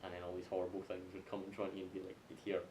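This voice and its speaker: A man's voice: completely flat delivery, very fast. A young Scottish man hosting a casual group podcast chat. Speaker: podcast host. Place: echoey room. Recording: roomy echo, faint noise and rumble.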